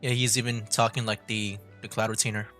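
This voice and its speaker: A man's voice: lowish voice, level tone, fairly quick, a bit bright. A man streaming a story game, rambling with lots of fillers as he reacts. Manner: rambling, filler-heavy, laughs easily. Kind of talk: casual let's-play commentary. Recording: stream with game music behind.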